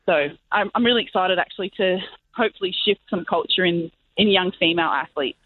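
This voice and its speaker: An upbeat young Australian woman talks fast and freely over a tinny phone line. Her voice is fairly low for a woman. She fills her speech with "like" and "you know". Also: slightly rough, no echo. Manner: upbeat, talkative, many "like" and "you know" fillers. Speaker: guest, professional basketball player. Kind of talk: casual interview chat.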